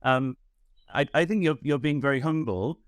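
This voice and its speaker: A man's voice: mid-range voice, moderate pace, smooth, dry recording. A man hosts a podcast interview, often repeating small words as he finds his phrasing. The recording is dry and close, with no room sound. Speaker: podcast host. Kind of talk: casual interview chat.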